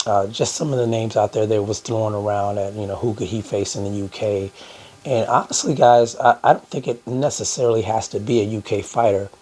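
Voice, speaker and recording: A hoarse man hosting a boxing news channel, talking casually to his viewers with lots of fillers. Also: fairly low pitch, fairly even intonation, a little fast, darkish tone. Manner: conversational, many "uh" fillers, addresses "guys". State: hoarse from a cold. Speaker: boxing YouTube host.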